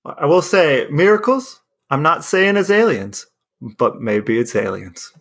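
A man's voice: a little high, sing-song, a little slow, fairly bright tone. A man with an American Midwestern accent co-hosting a podcast in a casual, conversational style. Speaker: podcast co-host.

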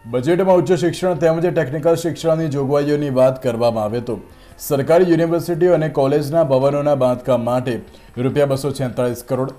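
A man's voice: medium pitch, mellow. A man reading scripted news narration as a voice-over. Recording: music bed under voice-over. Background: music.

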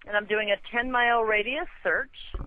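A woman's voice: on the high side, fairly even intonation, moderate pace, pushed and bright, no echo. An American woman working as a customer service agent, businesslike and matter-of-fact, heard over a telephone line. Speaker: storage rental phone agent. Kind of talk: customer service phone call.

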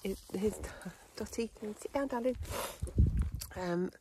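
A woman's voice: mid-range voice, unhurried. A woman in her thirties chatting casually to a phone camera held at arm's length outdoors on a hill, with lots of ums and little laughs. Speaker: hiking vlogger. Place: windy fell top and tent outdoors. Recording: faint wind noise outdoors.

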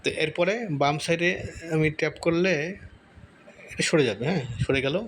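A man giving a casual step-by-step software tutorial, often repeating himself and checking in with listeners. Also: fairly high pitch, normal speed. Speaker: tutorial host. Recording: noticeable background noise.

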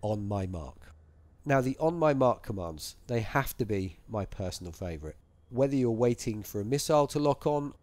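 A man's voice: medium pitch, a little mellow. A man presenting a space game feature in scripted promotional narration and spoken commands. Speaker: presenter and player giving commands. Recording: music behind the voice.

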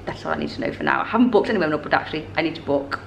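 A woman's voice: sits low, huge pitch swings, rapid-fire, echoey room, a little hiss or hum, poor audio. Chatty woman vlogging. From northern England. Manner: chatty, rambling, self-correcting. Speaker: travel vlogger. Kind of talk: casual vlog chat to camera. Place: hotel room indoors and busy street outdoors. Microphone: camera held at arm's length.

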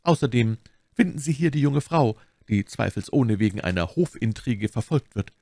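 Guy doing a sweet curious abbess, pitched way high, very polite and proper, audiobook dialogue, dry close sound. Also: animated, moderate pace, warm tone, no room sound. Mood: reassuring. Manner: polite, formal, solicitous. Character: abbess, gentle, curious, sympathetic pious woman.

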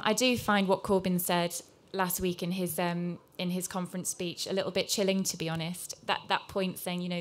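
Female panelist, journalist, candid and earnest, flat delivery, table mic. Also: lowish voice. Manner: earnest, candid, with frequent ums. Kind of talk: panel discussion remarks.

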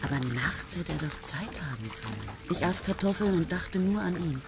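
An actress in a radio play playing a young woman student who speaks softly and dreamily. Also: fairly low pitch, lively intonation, normal speed, music in the background. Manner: soft and hushed, dreamy. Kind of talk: radio play acting and narration.

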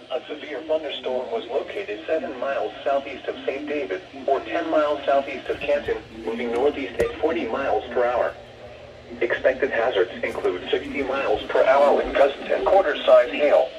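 A synthetic male announcer reading scripted emergency alerts in a measured way over a weather radio speaker. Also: medium pitch, echoey room, recorded from a distance, highs cut off. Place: played from radios in a room, picked up by camera. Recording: noisy radio speaker picked up from across room.

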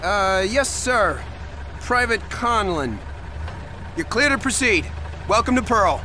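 An actor playing a polite, dutiful sailor on gate duty, speaking formally in a video game. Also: male voice, sits high, lively intonation, slow pace. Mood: polite, official. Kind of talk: game dialogue. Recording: clear engine idling noise. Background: idling vehicle.

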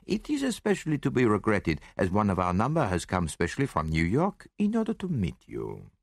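A man voicing a shrewd, courteous detective in a mystery audiobook, theatrical and gently mocking. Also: on the low side, wildly swooping pitch, a little fast, creaky, dry recording, studio quality, heavily acted. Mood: suave mockery. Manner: courteous, mildly reproving, theatrical.

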